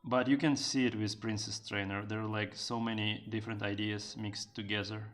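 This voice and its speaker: A man's voice: fairly low pitch, flat delivery, normal speed, a bit bright. A male streamer talks loosely to his chat while he works, piling up fillers and restarts. He drops into broken learner Japanese for a joke. Faint music plays behind him. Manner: rambling, many fillers, answers chat. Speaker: streamer and game developer. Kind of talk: casual live-stream chat while drawing.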